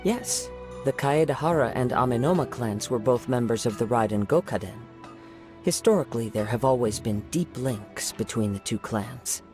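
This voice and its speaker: An actor playing a calm, courteous young samurai in a game, speaking in a formal, soft-spoken way. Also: male voice, medium pitch, moderate pace, lightly acted. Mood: composed. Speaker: wandering samurai. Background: game music.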